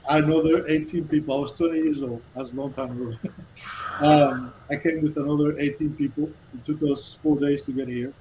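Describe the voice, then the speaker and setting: A man from Cuba in his fifties, a guest telling his life story in an earnest, halting way with many restarts. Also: a little high, steady tone, dark tone, highs cut off, a little hiss or hum. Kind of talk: casual storytelling interview.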